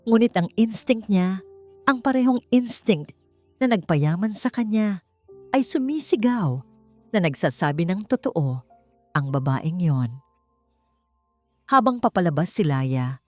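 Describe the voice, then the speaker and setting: A woman's voice: low-pitched, a little mellow. A woman with a Filipino accent reading a scripted story in a dramatic narrating style. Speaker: audiobook narrator. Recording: music behind the voice. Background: music.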